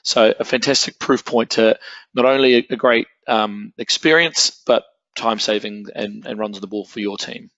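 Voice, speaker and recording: Low-voiced man co-hosting a product webinar. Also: normal speed, a bit bright, dry recording, dull audio. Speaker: webinar co-host. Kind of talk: promotional webinar presentation.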